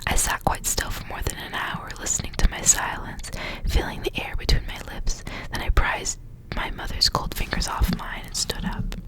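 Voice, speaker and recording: A woman speaks entirely in a whisper, with no full voice behind her words. She is an ASMR host reading stories aloud in a hushed delivery, mixing the narration with casual, conversational asides. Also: normal speed, low-quality recording. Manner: whispers throughout, unvoiced and hushed. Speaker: ASMR reader, host of the channel.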